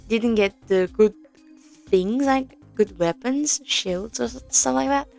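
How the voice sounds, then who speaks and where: A young woman talks slowly and unhurriedly, explaining a game in a casual walkthrough. She hesitates often, filling gaps with ums and small nervous laughs. Faint music sits behind her. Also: mid-range voice. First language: Korean. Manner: hesitant, many fillers, soft nervous laughs. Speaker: audio game tutorial presenter.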